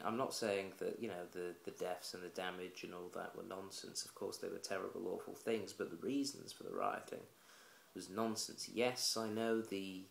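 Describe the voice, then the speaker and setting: Gravelly low-voiced man, blunt. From England. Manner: blunt, opinionated, plain-spoken. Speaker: vlogger. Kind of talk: opinionated casual commentary to camera. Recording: roomy echoey room.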